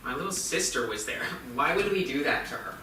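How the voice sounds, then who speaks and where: A man's voice: a little high, animated, fairly quick, pushed and bright. An actor playing an aggrieved, flippant teenage boy in a live staged play reading. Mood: defensive. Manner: flippant, casual, petulant. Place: indoor theatre stage, recorded from a distance. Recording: echoey, noisy theatre recording from far off.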